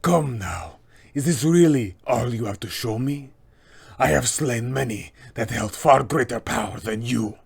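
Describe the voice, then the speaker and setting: A man voicing a menacing aristocratic vampire lord for a tabletop game, raspy and creaky, with slow, pompous delivery. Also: mid-range voice, expressive, exaggerated voice. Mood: contemptuous, menacing.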